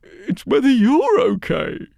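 A man voicing a ragged old woman who weeps as she speaks cryptically, in a high, tearful, quavering voice. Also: huge pitch swings, takes it slowly, gravelly, darkish tone, dry recording, clean studio sound, exaggerated voice.

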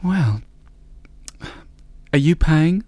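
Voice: mid-range voice, animated, very slow, warm tone, dry recording. A storyteller voicing a proud female thief who plays the offended respectable lady. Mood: coy.

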